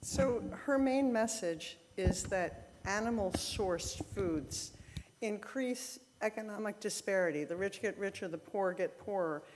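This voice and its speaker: A woman leading a church service, speaking informally and a little haltingly to the congregation. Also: mid-range voice, background noise. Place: church sanctuary, indoors. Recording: echoey hall with long reverb.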